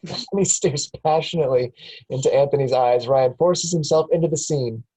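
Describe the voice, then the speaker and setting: A man's voice: a little high, normal speed, dark tone, husky, poor audio. A man reading a screenplay's stage directions aloud at a table read.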